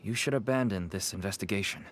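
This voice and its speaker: A man's voice: fairly low pitch, fast talker, slight background noise, close to an everyday voice. An actor voicing an evasive smuggler and fond father in a video game, speaking in a wordy, pleading, persuasive way. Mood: pleading, wary.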